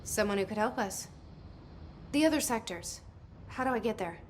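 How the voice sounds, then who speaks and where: An actress playing a guarded, quietly determined young woman in a video game, speaking in a hushed, clipped, pensive way. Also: medium pitch, lively intonation, moderate pace, slight background noise, natural voice. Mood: searching, determined. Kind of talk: video game drama, introspective and understated.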